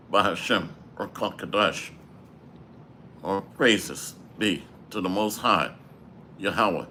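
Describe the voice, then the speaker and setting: A man leads a livestreamed Bible lesson in a slow, deliberate cadence. His throat is dry and he clears it often. His voice stays bright and forceful. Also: on the low side, a little hiss or hum. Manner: slow, measured preaching with Hebrew phrases. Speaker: Bible teacher. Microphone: livestream microphone.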